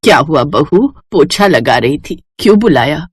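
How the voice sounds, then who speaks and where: A woman's voice: low voice, sing-song, normal speed, slightly rough, dry recording, studio quality, pitched below the performer's own voice, exaggerated voice. A woman voicing a meek, put-upon elderly mother-in-law in a cartoon story, plaintive and subdued. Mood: meek, tired.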